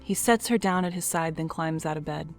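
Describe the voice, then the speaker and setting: A woman narrating an audio drama in a soft, storybook manner. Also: deep voice, brisk, soft background music.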